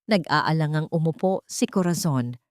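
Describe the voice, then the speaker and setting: A woman with a Filipino accent narrating a love-story audio drama in a measured, descriptive way. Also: low-pitched, no echo.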